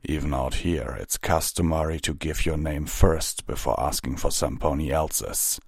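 A man voicing a large armored stallion captain in a storybook, stern but patient, measured and gently authoritative. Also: extremely low pitch, vocal fry, slightly rough, dry recording, studio quality, heavily acted. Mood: patient, formal.

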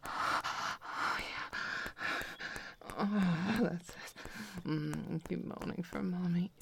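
Woman playing a dominant female lover, possessive, breathy whisper, lowish pitch, crackling vocal fry, sighing short phrases, intimate adult audio roleplay. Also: steady tone, a bit bright, full character voice. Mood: possessive, aroused. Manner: murmured, drawn-out sighs between short phrases.

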